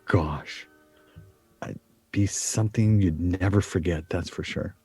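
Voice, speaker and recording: A man's voice: deep voice, slow, darkish tone, slightly rough, faint music behind, heavily acted. An actor playing an earnest, emphatic, wistful middle-aged man in a radio play.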